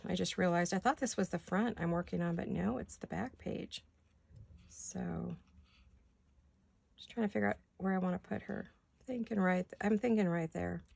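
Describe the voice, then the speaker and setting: Older woman with a gravelly, raspy voice. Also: medium pitch. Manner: rambling, chatty, thinks aloud while working. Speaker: craft vlogger. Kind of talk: casual crafting chat. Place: home craft studio. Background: neighborhood garbage-day banging.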